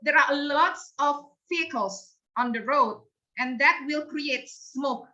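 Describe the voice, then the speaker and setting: A woman's voice: high voice, takes it slowly, bright, forceful tone. A woman with an Indonesian accent hosting an online English club on a video call, speaking hesitantly with many fillers and restarts. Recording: dull lo-fi video call audio.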